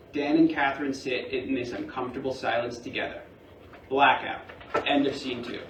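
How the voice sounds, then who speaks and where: A man with a medium-pitched voice narrates stage directions for a play reading. He is recorded at a distance in a reverberant room with a faint layer of noise.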